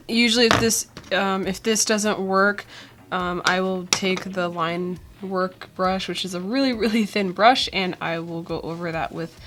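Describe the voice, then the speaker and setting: Young woman with a tired, cold-worn voice. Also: fairly low pitch. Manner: relaxed, chatty, with many ums and uhs. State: voice weak after a cold. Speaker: art tutorial host. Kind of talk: casual drawing tutorial voice-over. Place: indoors at a drawing desk.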